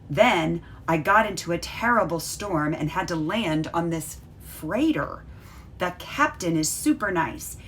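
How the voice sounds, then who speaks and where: A woman voicing an excited, friendly traveling bunny in a children's storybook read-aloud, with expressive delivery. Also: fairly low pitch, a little hiss or hum, full character voice.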